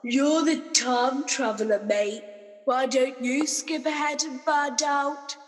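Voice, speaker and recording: A woman's voice: high-pitched, fairly even intonation, slow, in a large hall. A woman acting a blunt, wheedling working-class prison guard in a comic radio play, brash and colloquial. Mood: bossy.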